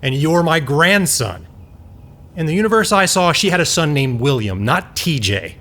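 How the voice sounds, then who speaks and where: A man's voice: a little high, expressive, moderate pace, fairly bright tone. A man playing an indignant older grandfather and scientist in an audio drama, incredulous and given to dramatic outbursts.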